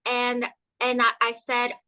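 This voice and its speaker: A woman's voice: fairly high pitch, monotone, slow pace, bright, forceful tone, clean tone. A woman whose first language is Russian, telling her story as a guest with frequent hesitations and fillers. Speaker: guest energy healer. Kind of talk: casual interview storytelling.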